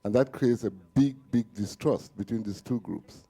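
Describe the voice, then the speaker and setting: A man's voice: mid-range voice, normal speed, mellow, breathy edge. A man in the audience asking a panel a serious, explanatory question about journalists and relief workers. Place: conference room.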